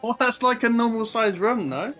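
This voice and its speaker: A man's voice: extremely high pitch, normal speed, over music. A young man chatting casually over voice chat. He is laid-back and rambling, and fills his talk with "like". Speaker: friend on voice chat.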